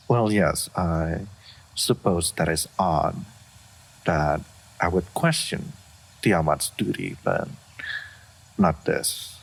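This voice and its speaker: A man voicing an aloof, dignified, solitary dragon in a fantasy roleplay, speaking formally and with measured reserve. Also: low-pitched, expressive, gravelly, slightly rough, full character voice, poor audio. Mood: reserved, pensive. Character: aloof, solitary, dignified old male dragon of great power. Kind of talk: scripted fantasy roleplay audio.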